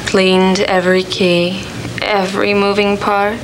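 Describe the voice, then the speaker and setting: A taunting, sardonic talking typewriter voiced by a woman in a radio play. The delivery is measured and very even, needling and accusing. It sits in a clearly noisy, humming analog recording. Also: mid-range voice, close to an everyday voice. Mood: mocking. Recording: noisy SD analog film transfer, constant hum.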